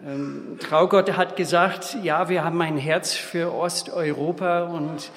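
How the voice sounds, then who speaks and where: A man in his fifties from Minnesota with an American accent, preaching a sermon in a halting way, with restarts and small slips. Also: on the high side, fairly even intonation, a bit bright, a little hiss or hum. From Minnesota, USA. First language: English. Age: 50s. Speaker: guest preacher. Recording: long hall reverb.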